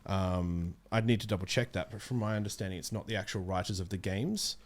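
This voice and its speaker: A man's voice: low voice, fairly quick. A man chatting casually about video games as an interview guest, often circling back and correcting himself as he explains. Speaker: interview guest, amateur voice actor. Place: small indoor streaming room. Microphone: close studio microphone.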